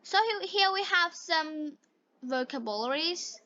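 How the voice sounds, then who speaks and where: Slow, high-pitched woman narrating for learners. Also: lively intonation, pushed and bright. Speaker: English teacher narrator. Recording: dull lo-fi recording.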